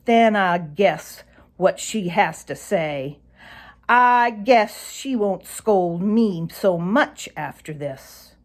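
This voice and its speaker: A woman's voice: mid-range voice, animated, extremely slow. A woman voicing a boastful, hot-tempered young male fox in a storybook, snappish and indignant. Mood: sly.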